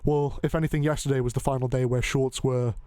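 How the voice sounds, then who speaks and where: A male host who rambles along, joking and dropping a curse here and there. His voice sits at an ordinary middle pitch. The sound is dry and close, with no room echo. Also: fairly even intonation, quick pace, warm tone, clean tone. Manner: rambling, joking, loose, swears casually. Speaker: podcast host. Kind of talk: casual chat.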